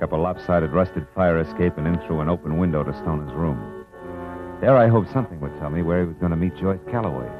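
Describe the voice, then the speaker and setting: A man's voice: low voice, close to an everyday voice. A man with an American accent playing a tough, weary, wisecracking private detective in a mid-century radio noir drama. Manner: wry, clipped, hardboiled. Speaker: lead actor and narrator. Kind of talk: 1940s-50s radio noir acting, hardboiled narration. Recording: old muffled radio recording with music behind.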